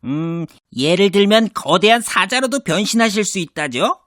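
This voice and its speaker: An actor playing a clever, scheming male cat in boots who talks politely and flatteringly in a cartoon. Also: sits high, normal speed, dry recording, studio quality. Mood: courteous. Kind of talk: cartoon voice acting.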